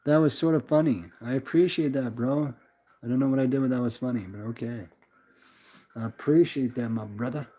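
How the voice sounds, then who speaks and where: A man's voice: mid-range voice, brisk, mellow. A young man with a Canadian accent chatting casually on a livestream, rambling and laid-back as he answers viewers and calls them brother. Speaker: livestream host reading chat. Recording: slightly dull lo-fi sound.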